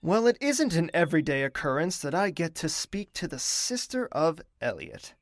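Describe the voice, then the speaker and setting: An actor playing a young male prince who is thoughtful and reserved, speaking in a measured, formal and courteous way. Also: a little high, sing-song, dry recording, clean studio sound. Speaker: narrator and lead character. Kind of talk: audio drama acting.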